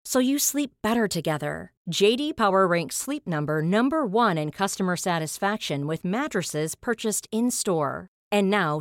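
Calm polished woman ad voice. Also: mid-range voice, no room sound, clean studio sound. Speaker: ad announcer. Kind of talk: scripted ad read.

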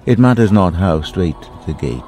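A man giving a solemn, dramatic inspirational poetry reading, slow and deliberate with long pauses. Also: sits low, animated, dark tone, soft background music. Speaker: poetry reader.

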